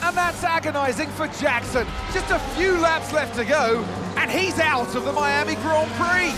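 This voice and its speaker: A man playing a Formula One commentator, calling a race live in an excitable manner. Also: very high-pitched, moderate pace, loud music behind the voice. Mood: urgent.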